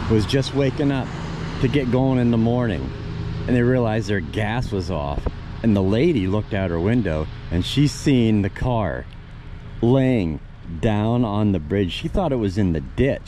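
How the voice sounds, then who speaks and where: An American man telling local stories as a vlogger in a casual, folksy, chatty way, talking straight to his viewers. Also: mid-range voice. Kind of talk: casual storytelling vlog about local history. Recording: noisy, with ambient vehicle sounds.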